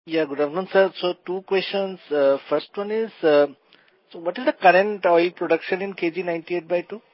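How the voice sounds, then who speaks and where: High-pitched Indian male analyst. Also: a little fast, no room sound. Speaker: financial analyst asking questions. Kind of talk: questioning on an earnings call. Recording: dull, dry phone conference line.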